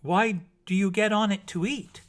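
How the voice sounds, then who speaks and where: A man voicing a young mermaid from a storybook, cheerful and impatient, puzzled by the land and speaking in halting, emphatic simple phrases. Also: high voice, steady tone, pitched above the performer's own voice, close to an everyday voice.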